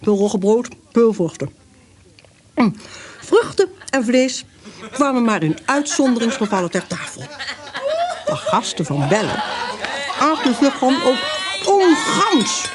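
A woman's voice: a little high, sing-song, a little slow, long pauses, a crowd in the background. A woman narrating a radio play in a descriptive storytelling way. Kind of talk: scripted narration.

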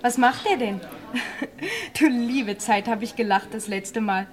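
High-voiced chirpy café waitress. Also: female voice, big pitch swings, slow. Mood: chatty, amused. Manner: chirpy, friendly, bustling. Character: cheerful, chatty café waitress. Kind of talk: German radio crime play acting.

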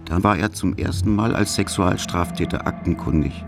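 A man's voice: deep voice, normal speed, gravelly. A man reading a scripted documentary narration in a terse, matter-of-fact manner. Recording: music under narration.

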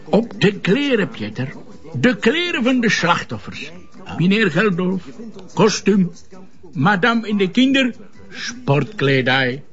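A man playing a Polish doctor, speaking in halting, clipped phrases. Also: sits high, very animated, fairly bright tone, highs cut off, exaggerated voice. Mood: inquisitive. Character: Polish doctor, halting foreigner, drily theorizing. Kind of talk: naturalistic TV crime drama dialogue.

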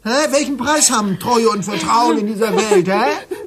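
An actor playing a drunk, jealous husband in a radio play, accusatory and full of self-pity. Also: male voice, very high-pitched, moderate pace, a crowd in the background. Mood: bitter, self-pitying. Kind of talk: theatrical radio play dialogue.

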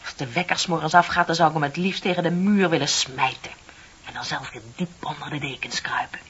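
An actress playing a bitter, lonely middle-aged office worker in a radio play, confiding and sardonic with dramatic emphasis. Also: female voice, low voice, fairly quick, a bit bright. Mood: exasperated, fed up. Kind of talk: Dutch radio play acting. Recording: dull, hissy old radio recording.

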